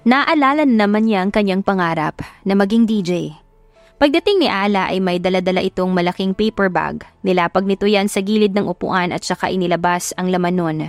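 A woman's voice: mid-range voice, fairly quick, no echo. A woman narrator reading a scripted audio love story. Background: music.

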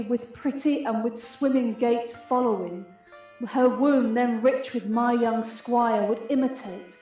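A woman's voice: on the high side, mellow. A woman playing a proud, aggrieved fairy queen in a Shakespearean radio play, speaking stately, declamatory verse. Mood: tender, resolute. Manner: stately, measured, declamatory delivery. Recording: heavy reverberant echo, muffled, music behind. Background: music.

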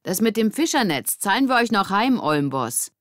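A feisty schoolgirl in a children's story, acted by a woman. She is sassy and confrontational, speaking standard German at a raised pitch. The recording is dry. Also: big pitch swings, moderate pace, no echo, natural voice. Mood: defiant. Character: feisty, challenging schoolgirls of a gang.

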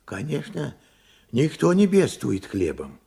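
An actor playing an older working-class father, earnest and proud, in Soviet radio drama style, with a husky, creaky, emphatic voice. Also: medium pitch, huge pitch swings, slow pace, dark tone, exaggerated voice. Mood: proud, reassuring. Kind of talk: Soviet radio play acting.

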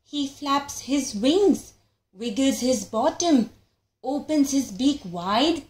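A woman with a high voice reads a children's picture book aloud. She takes her time and is very expressive as a storyteller. The sound is roomy and echoey. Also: slow pace. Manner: expressive, acts out animal calls and sounds. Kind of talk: animated read-aloud for young children.

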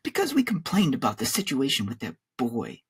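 A protective mother as a man puts her on in a story reading, soft and placating. The voice is husky and gently lifted in pitch, quick to soothe and defend. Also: wildly swooping pitch, heavily acted.

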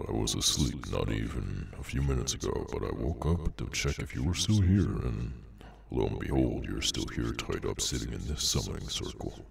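A man voicing a cursed, inhuman henchman in an ASMR roleplay, bored, sardonic and menacing, with an unhurried dry delivery. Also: far from the mic, full character voice. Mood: cold, dismissive. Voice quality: very deep, gravelly. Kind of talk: ASMR audio roleplay, slow dramatic monologue.

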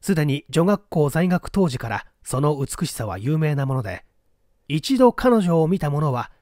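A man reading a mystery story aloud as literary narration, in a measured, polite storytelling manner. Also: a little high, big pitch swings, a little mellow, dry recording, clean studio sound. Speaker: audiobook reader.

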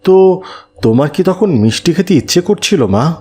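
A man voicing a kindly, pious father in a children's cartoon, calm and devout. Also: fairly high pitch, normal speed, husky. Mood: gentle.

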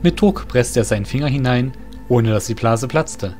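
A man's voice: mid-range voice, expressive, moderate pace, a little mellow. A man narrating a scripted fantasy story in formal, literary phrasing. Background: music.

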